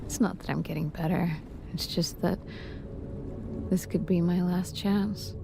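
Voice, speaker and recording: An actress playing a gentle dying wife reading a sorrowful farewell letter in a video game, softly and haltingly, at times in a whisper. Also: female voice, lowish voice, steady tone. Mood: fearful sorrow. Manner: soft, breathy, halting, sometimes whispered. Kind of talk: dramatic video game voice acting, letter monologue. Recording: game audio with clear background music. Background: game soundtrack music.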